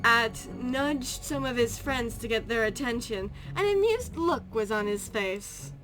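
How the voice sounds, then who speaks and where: A woman narrating a story aloud in an amateur, halting way, correcting misread words as she goes. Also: on the high side, lively intonation, music in the background, rough recording.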